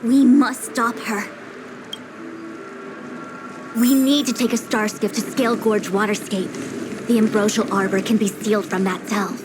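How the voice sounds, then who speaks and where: A woman's voice: medium pitch, fairly even intonation, moderate pace, natural voice. Woman voicing a stern commanding leader, formal, firm, music under the voice. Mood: resolute. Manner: formal, authoritative, resolute. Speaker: game character. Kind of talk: game dialogue voice acting.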